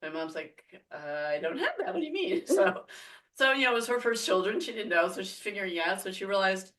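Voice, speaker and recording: A woman's voice: mid-range voice, very animated, a little fast, a bit bright, distant mic. A woman from France, a podcast guest, telling a story casually with many fillers and frequent laughter.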